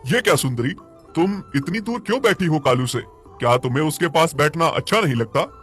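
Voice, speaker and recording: A man voicing a kind, principled village animal owner in an animated moral story, earnest and reassuring. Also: on the high side, brisk, faint music behind.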